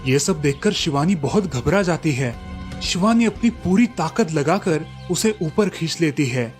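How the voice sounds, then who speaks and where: A man's voice: on the high side, expressive, a little slow. A man narrating a scripted cartoon story as the storyteller. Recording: music behind the voice. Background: music.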